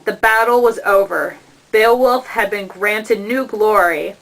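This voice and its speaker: A woman giving a measured, dramatic narration of an epic poem as the storyteller of a puppet show. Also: medium pitch, fairly bright tone, low-quality recording.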